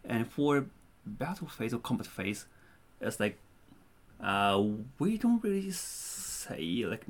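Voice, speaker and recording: A man's voice: mid-range voice, very animated, takes it slowly. A man with a Japanese accent, a native Japanese speaker, casually explaining terms and often searching for words.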